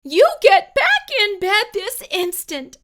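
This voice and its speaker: A woman's voice: very high voice, very animated, slow, fairly bright tone, voice pushed up, full character voice. A woman voicing a frantic, bossy mother in a children's storybook. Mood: stern. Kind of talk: children's storybook read-aloud.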